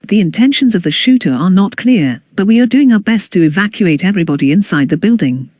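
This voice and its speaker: An announcer reading scripted emergency news bulletins in a formal, measured way. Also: mid-range voice, lively intonation, a little mellow, no echo.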